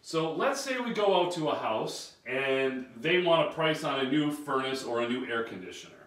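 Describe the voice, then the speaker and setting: A man's voice: mid-range voice, sing-song, normal speed, a bit bright. A man in his thirties giving an emphatic, direct training talk at a whiteboard in a small carpeted room. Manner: emphatic, direct, gestures while standing. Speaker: sales and service trainer. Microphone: camera a few feet away. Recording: echoey room, camera mic at distance.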